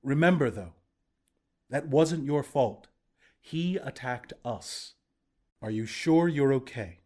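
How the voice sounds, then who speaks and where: A man's voice: medium pitch, expressive, slow, a little mellow. A man playing a battle-hardened resistance commander in a video game, plain-spoken, protective and reassuring. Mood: gentle, consoling. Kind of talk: video game character voice lines.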